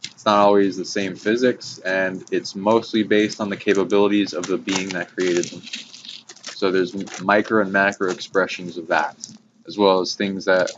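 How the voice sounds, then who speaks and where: A man's voice: deep voice, level tone. A man talking casually and hesitantly about spiritual ideas as a host, rambling and swearing now and then. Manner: hesitant, halting, casually profane. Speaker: host explaining esoteric ideas. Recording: muffled, noisy hobby recording with clicks.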